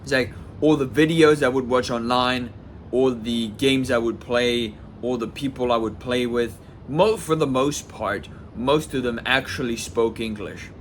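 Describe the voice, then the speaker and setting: A man's voice: mid-range voice, unhurried, a little hiss or hum. A man in his twenties from southwest France, talking casually to camera in a rambling personal vlog, full of restarts and "like". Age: 20s. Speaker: vlogger.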